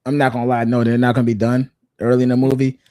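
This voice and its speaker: A man in his thirties talks in a laid-back, slangy way, often repeating a phrase for emphasis. His voice sits at a middle pitch. The sound is dry and close, with no room around it. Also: flat delivery, rapid-fire, darkish tone, no echo. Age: 30s. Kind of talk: casual pop culture chat.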